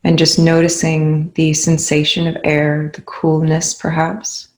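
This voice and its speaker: Guided meditation leader, mid-pitched voice, very even intonation, calm, unhurried, soothing, long pauses. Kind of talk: guided meditation, unscripted spoken instruction.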